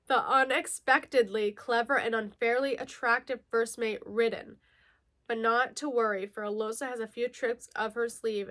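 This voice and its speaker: A woman's voice: a little high, a bit bright. A woman chatting casually as a book vlogger, giggly and self-correcting, slightly nasal from a lingering mild cold. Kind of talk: casual chatty book haul, reading blurbs aloud.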